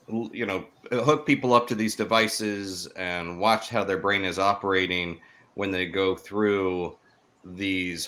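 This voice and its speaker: A man's voice: sits low, fairly bright tone. A man hosting a podcast interview, asking questions conversationally and rephrasing them as he goes.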